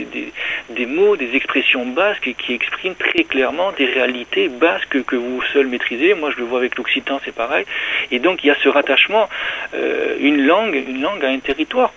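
A man's voice: medium pitch, vocal fry, sharp tone. A man speaking as an interviewed academic and teacher, explaining his views in an articulate, didactic way with emphatic repetition. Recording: dull lo-fi radio sound, faint noise.

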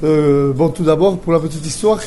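A man's voice: fairly high pitch, moderate pace, warm tone, slight background noise. A man interviewing a chef, often stopping and rephrasing his questions.

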